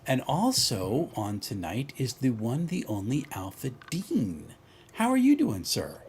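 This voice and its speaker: A man's voice: mid-range voice, wildly swooping pitch, a little slow, a little mellow, slight background noise. A man hosting a casual livestream chat and introducing his guests. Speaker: host.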